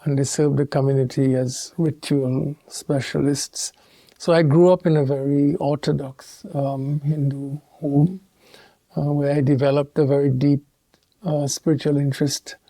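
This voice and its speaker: A man from Trinidad and Tobago, a theologian speaking as an interview guest in a measured, thoughtful way with pauses and restarts. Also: a little high, creaky, mellow, no echo. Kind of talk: reflective interview conversation.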